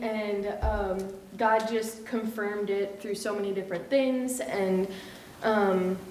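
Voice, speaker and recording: An American woman in her thirties giving a chatty personal testimony at church, full of fillers and quoted dialogue. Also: on the high side. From United States. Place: church hall. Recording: echoey room, mic at a distance.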